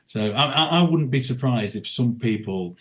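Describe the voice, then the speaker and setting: A man's voice: medium pitch, animated, moderate pace. A man who works as a tribute singer, talking as a podcast guest in a casual, rambling way with lots of restarts and fillers. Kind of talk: casual interview chat.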